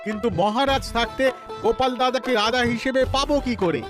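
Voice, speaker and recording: An eager, loyal villager from a cartoon. He speaks in a very high, devoted voice with light music underneath. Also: a little fast. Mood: admiring.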